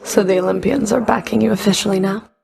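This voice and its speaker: Creaky cool underworld fury for a video game, dry sarcasm, clipped and stern. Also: female voice, medium pitch, steady tone, fast talker, darkish tone. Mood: dry, teasing. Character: stern, sardonic Fury, underworld enforcer with a whip. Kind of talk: video game character voice acting.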